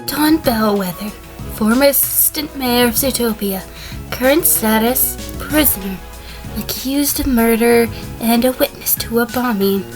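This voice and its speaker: High-voiced, guarded female defendant, acted by a woman. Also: a little slow, over music, close to an everyday voice, low-quality recording. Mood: cool, sardonic. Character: female sheep defendant, cool, guarded former assistant mayor. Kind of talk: scripted fan audio drama acting.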